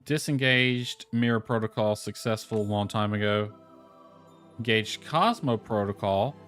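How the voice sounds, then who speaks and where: A man's voice: fairly low pitch, a little slow, fairly bright tone. A man livestreaming a video game, talking casually to himself and reading game text aloud. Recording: music playing behind the voice. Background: lo-fi music.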